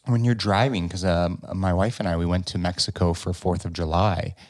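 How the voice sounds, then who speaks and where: A low-pitched man hosts his podcast in a loose, upbeat way and swears casually now and then. His voice sounds close and dry, with no room echo. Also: fairly even intonation, normal speed. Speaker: podcast host. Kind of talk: casual interview chat.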